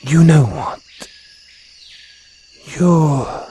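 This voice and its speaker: A man voicing a male jungle naga, guarded but tender and flirtatious, in an intimate audio roleplay with slow, formal phrasing. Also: fairly high pitch, mellow, slight background noise. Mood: warm, flirtatious. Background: crickets and jungle insect sounds.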